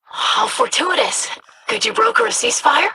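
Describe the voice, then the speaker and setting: An actress voicing a curious, polite young alien scholar in a video game, with precise formal diction. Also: female voice, very high voice, very animated, normal speed, gravelly, background noise, full character voice. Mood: inquisitive.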